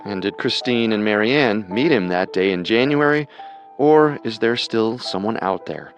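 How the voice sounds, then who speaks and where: Male true-crime co-host, lowish pitch, serious and measured scripted read over faint music. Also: expressive, muffled recording.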